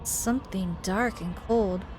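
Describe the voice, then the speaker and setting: A woman's voice: medium pitch, takes it slowly, noisy background. A woman doing let's play commentary, reading a visual novel aloud and reacting expressively. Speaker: gaming video host. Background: game music.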